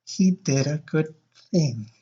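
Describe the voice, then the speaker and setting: A podcast co-host in casual chat with a halting, wry way of talking, on a dull, lo-fi recording. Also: medium pitch, animated, dark tone. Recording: dull, lo-fi sound.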